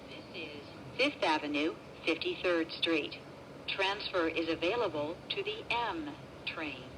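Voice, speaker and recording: A woman reading scripted transit announcements as a train announcer, in a measured, formal manner with segmented phrasing. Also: fairly low pitch, background noise.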